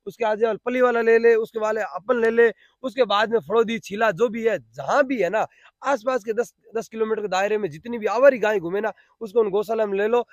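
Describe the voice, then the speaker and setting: A man's voice: high voice, no room sound, lo-fi sound. A man with a Rajasthani accent answering interview questions off the cuff, explaining emphatically and repeating himself for stress. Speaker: cowshed founder and volunteer being interviewed. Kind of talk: spontaneous spoken interview.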